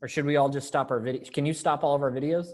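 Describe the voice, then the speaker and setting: An encouraging event organizer with a medium-pitched voice, talking casually on a video call. The delivery is upbeat and informal, like someone getting a group ready to perform. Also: fairly even intonation, racing. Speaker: organizer of a readers theater event.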